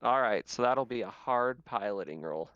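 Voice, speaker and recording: A man's voice: mid-range voice, animated, moderate pace, fairly bright tone, smooth, highs cut off. A man speaking casually as game master, improvising narration and explaining rules, often with a chuckle.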